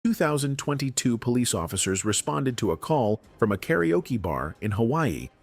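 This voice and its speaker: A man's voice: mid-range voice, normal speed, gravelly. A man narrating a true-crime video in a scripted, matter-of-fact way. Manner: even, matter-of-fact storytelling.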